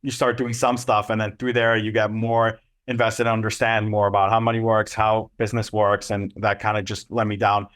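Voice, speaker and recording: Young male guest, low-pitched, loose and rambling with lots of fillers. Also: little pitch movement, a little fast, hardly any pauses, a bit bright. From Israel. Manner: loose and rambling, many ums and restarts. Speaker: agency co-founder and CEO. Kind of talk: casual interview answers.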